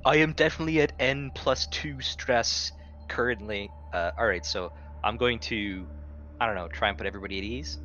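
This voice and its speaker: A man's voice: on the low side, a little fast, pushed and bright, dull audio, slight background noise. A man talking casually and wryly as a player in a tabletop game. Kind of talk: casual chat.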